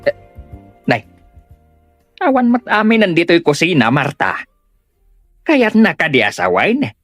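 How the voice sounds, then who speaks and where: A woman's voice: on the low side, very animated, measured pace, no room sound, faint music behind. A woman playing an exasperated, concerned relative who scolds in an Ilocano comedy audio drama. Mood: busy, concerned.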